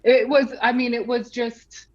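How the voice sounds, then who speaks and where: A woman author being interviewed over a video call, telling stories in a relaxed way with hesitations. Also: a little high, a little slow, muffled recording. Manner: relaxed anecdotal storytelling with hesitations. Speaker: author guest. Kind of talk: interview storytelling.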